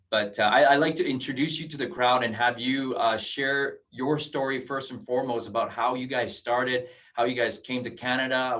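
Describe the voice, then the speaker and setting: A man's voice: medium pitch, pushed and bright, far from the mic. A friendly man in his forties hosts a casual interview over a video call. He rambles and restarts his sentences. His voice sits a little back from the microphone. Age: 40s. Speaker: host.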